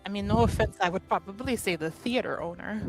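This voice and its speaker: A woman playing an amused, candid, teasing character in a tabletop roleplaying game, in a halting manner. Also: mid-range voice, faint music behind, natural voice, low-quality recording.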